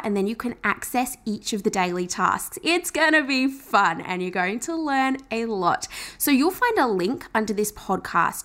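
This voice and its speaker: A woman's voice: fairly high pitch, fairly bright tone. A woman hosting a podcast, talking in an enthusiastic, chatty way as she promotes her offer and welcomes a guest. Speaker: podcast host. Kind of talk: upbeat podcast intro and interview.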